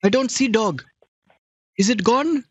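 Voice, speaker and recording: A man's voice: extremely high pitch, lively intonation, a little slow, a little hiss or hum. A man playing a confused victim on a prank call, speaking broken English in a put-on Indian accent and teasing the caller. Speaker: scam baiter posing as a victim.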